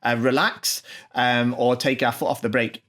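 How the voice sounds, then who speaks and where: A man hosting his own channel, translating a video message in an informal, off-the-cuff way, with many pauses, ums and restarts. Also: medium pitch, level tone, fairly bright tone. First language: not Japanese.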